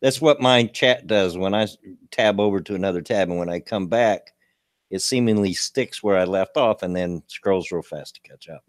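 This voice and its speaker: A man in his late fifties with a low, gravelly voice hosts a casual livestream. He rambles in a folksy way, restarting his sentences as he reads out local news. The sound is close and dry. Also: normal speed, no echo. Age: 50s. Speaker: show host.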